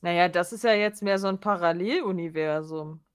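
A woman's voice: on the low side, fairly quick, clean tone. A woman in an informal podcast conversation, chatting casually about an audio drama. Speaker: podcast co-host.

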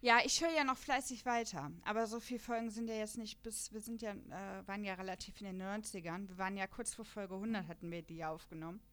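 A woman's voice: mid-range voice, steady tone, quick pace, few pauses, fairly bright tone. A woman hosting a casual podcast chat about radio dramas, chatty and laughing often as she talks. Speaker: podcast host.

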